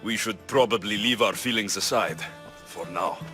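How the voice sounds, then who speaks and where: An actor playing a blunt, wry mercenary hero in a video game set in ancient Greece, dry and direct. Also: male voice, lowish voice, moderate pace, music in the background, natural voice. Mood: tender, guarded. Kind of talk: video game character acting.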